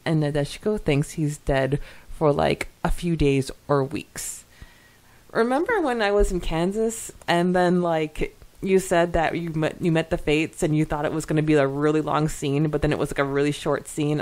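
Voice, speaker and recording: A woman with a slightly low voice talks casually as a podcast co-host. She sounds chatty and informal, as if going through comments with a friend. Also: a little mellow. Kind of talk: casual podcast chat mixed with reading listener comments aloud.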